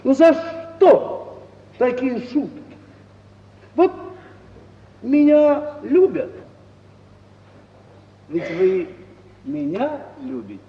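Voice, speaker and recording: A high-voiced, slow, foolish suitor. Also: male voice, big pitch swings, far from the mic, heavily acted. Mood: wounded, pleading. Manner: halting, effusive, swooping delivery with drawn-out words. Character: foolish, sentimental suitor, smitten yet pompously honour-bound. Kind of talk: 1950s Russian radio theatre acting. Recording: old roomy stage-like radio recording, dull, hissy.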